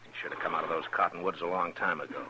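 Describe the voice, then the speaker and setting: Deep-voiced, stern western lawman. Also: fairly even intonation, rapid-fire, pushed and bright. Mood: watchful, measured. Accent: American. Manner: terse, commanding, plainspoken. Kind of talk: 1950s radio western acting. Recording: noisy, crackly old radio recording.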